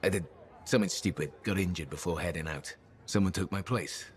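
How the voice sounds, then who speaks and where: An actor playing a humble, friendly officer who talks casually in short phrases, in video game dialogue. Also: male voice, low voice, brisk, a faint crowd, lightly acted. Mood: regretful.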